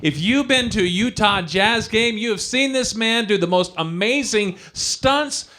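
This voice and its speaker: Upbeat male host with a high voice, effusive and warm with praise. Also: animated, a little slow. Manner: enthusiastic, effusive. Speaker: co-host of a charity gala. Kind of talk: upbeat show hosting. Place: studio.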